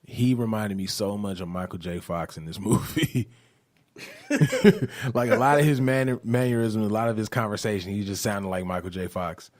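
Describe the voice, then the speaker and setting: A man's voice: fairly low pitch, normal speed. A man giving opinions about movies in a casual chat, using slang and frequent swearing. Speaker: podcast guest.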